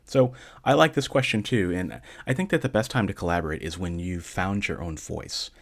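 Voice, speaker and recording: A man with a notably low voice hosts his own show and talks listeners through their questions. He keeps a calm, measured pace and delivers dry jokes completely deadpan. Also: gravelly. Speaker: podcast host answering listener questions. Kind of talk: casual solo podcast talk.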